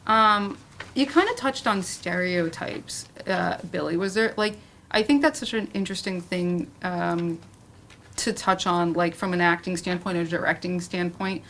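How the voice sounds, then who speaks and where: A woman's voice: lowish voice, unhurried, a bit bright. A woman moderating a casual panel discussion, talking in a rambling, conversational way with lots of "like". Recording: noisy room ambience.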